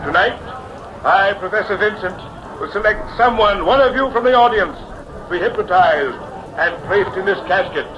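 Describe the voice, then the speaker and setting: A man's voice: high voice, expressive, normal speed, background noise. An actor playing a pushy carnival showman hypnotist with theatrical, declamatory patter, in the style of mid-century radio drama. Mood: grand showmanship. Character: showman hypnotist professor, pushy, smooth-talking carnival barker. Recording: dull vintage radio recording, music behind.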